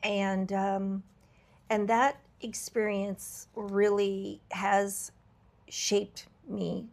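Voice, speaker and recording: A woman hosting a podcast, her voice a little raspy from travel, talking in an earnest, reflective way with frequent fillers and restarts. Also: medium pitch, level tone, extremely slow. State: slightly raspy voice from travel fatigue. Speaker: podcast host. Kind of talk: candid podcast conversation.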